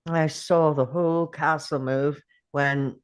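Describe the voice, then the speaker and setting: A man playing a weary, grieving time traveller who muses slowly to himself in a script table read. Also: fairly high pitch, steady tone, clean tone. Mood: pondering.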